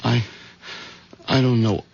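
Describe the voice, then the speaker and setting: An actor playing a nervous, guilt-ridden husband with a hesitant, stammering manner in a 1970s radio drama. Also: male voice, medium pitch, steady tone, takes it slowly, a little mellow, slightly rough. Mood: tense, weary. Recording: dull vintage radio broadcast with music.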